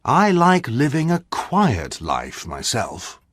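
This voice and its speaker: A quiet, sensible doctor speaks in a slow, lilting voice that rises and falls widely, as a man puts him on for a storybook reading. The recording is close and dry. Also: mid-range voice, wildly swooping pitch, dry recording, pitched above the performer's own voice, full character voice. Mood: mild.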